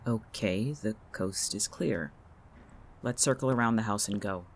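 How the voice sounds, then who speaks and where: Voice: medium pitch, a little slow. An actor in a fiction podcast playing a guarded, irritable homebody who is curt, sarcastic and exasperated.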